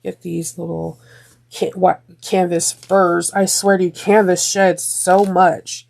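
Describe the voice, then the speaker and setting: A woman hosting a sewing tutorial, chatting through each step with frequent ums and restarts, her voice slightly deeper and congested from a sinus infection. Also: level tone, slow pace, creaky, a little mellow.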